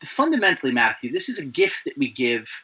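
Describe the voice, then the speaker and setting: A man being interviewed over a video call. He talks in a playful, animated way with many restarts. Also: mid-range voice, fairly quick, slightly rough. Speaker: guest, presenter coach. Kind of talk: remote interview chat.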